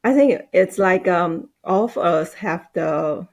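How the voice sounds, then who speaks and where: A woman, a Mandarin speaker, chatting on a podcast in halting, hesitant English. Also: lowish voice, darkish tone. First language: Mandarin Chinese. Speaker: podcast guest, team manager. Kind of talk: casual podcast conversation.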